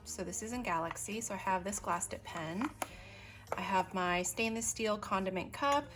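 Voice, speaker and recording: A woman chatting casually as she shows her desk items, unhurried and full of ums and little asides while she works. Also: medium pitch, noisy background. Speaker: stationery vlogger. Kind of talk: casual show-and-tell narration.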